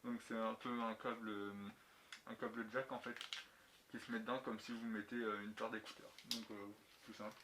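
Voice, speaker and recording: A man's voice: fairly low pitch, little pitch movement, moderate pace. Casual man in an echoey, noisy room. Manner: colloquial, slangy, mild swearing. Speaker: motovlogger reviewing gear. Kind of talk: casual unscripted product review. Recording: echoey room, clicks and clear background noise.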